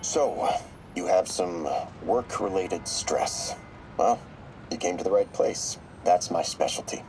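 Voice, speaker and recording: A shrewd therapist in a game audio log, with a low voice. He is clinical, measured and probing, quietly calculating. Faint noise sits behind him. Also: low-quality recording. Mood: professional, calm.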